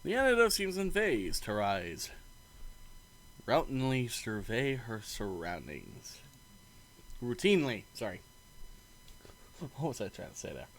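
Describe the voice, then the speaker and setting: A man's voice: medium pitch, wildly swooping pitch, takes it slowly, pauses often, slight background noise, low-quality recording. A man streaming a game, reading its narration aloud expressively, sometimes stumbling and breaking into casual asides.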